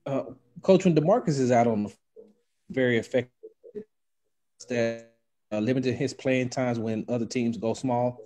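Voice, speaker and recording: A man's voice: medium pitch, normal speed, pauses often, warm tone. A man, a sports reporter, putting questions to a coach at a press conference over a remote call. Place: remote call with an unstable connection.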